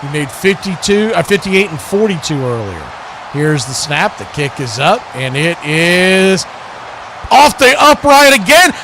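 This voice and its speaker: Man, American, high-pitched sports play-by-play caller, live stream host, noticeable background noise. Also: very animated, unhurried.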